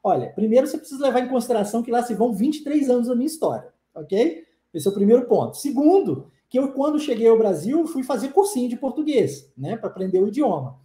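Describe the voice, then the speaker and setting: A man's voice: very high-pitched, big pitch swings, fast, mellow, echoey room. A man in his forties, born in Brazil and raised in the United States with English as his first language, teaching a live online lesson to his viewers. He talks emphatically and persuasively and keeps firing rhetorical questions at them.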